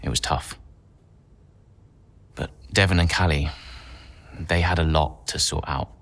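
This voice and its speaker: Very deep, gravelly team insider. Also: male voice, steady tone, unhurried, a bit bright, exaggerated voice. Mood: sombre. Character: grave male team insider recounting hard times. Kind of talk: documentary-style interview acting in a game.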